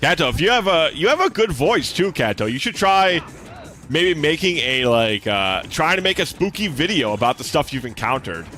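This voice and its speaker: A man's voice: very animated, normal speed, bright, forceful tone, faint music behind. A young man streaming a game, chatting casually with his viewers; he has a slight lisp and talks in a laid-back, swear-sprinkled way. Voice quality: relatively deep voice. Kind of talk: casual live-stream chat while gaming.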